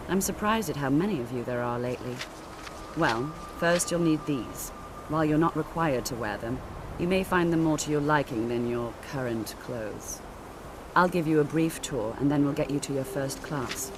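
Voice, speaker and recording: A woman's voice: sits low, normal speed, background noise, close to an everyday voice. An actress playing a stern, businesslike senior wizard woman in a fantasy video game, formal and authoritative. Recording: loud outdoor ambience behind.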